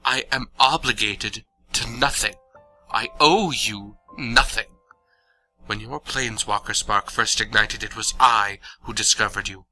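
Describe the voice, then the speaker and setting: A man's voice: fairly low pitch, measured pace, bright, forceful tone, soft background music, rough recording. A man playing an ancient, coldly menacing vampire lord in an audio drama, speaking in an imperious, formal, disdainful way.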